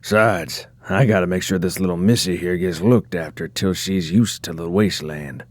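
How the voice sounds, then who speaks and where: A man's voice: low-pitched, normal speed, no echo, lightly acted. A man voicing a big, protective sand dog drifter with a drawl in an audio drama. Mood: folksy, protective.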